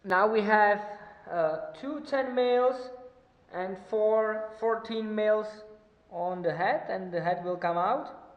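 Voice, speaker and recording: A man from the Czech Republic, whose first language is Czech, talking casually through a motorcycle engine teardown, halting often with fillers and small chuckles. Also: high voice, roomy sound, long reverb tail, muffled recording. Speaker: motorcycle mechanic vlogger. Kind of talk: casual workshop commentary.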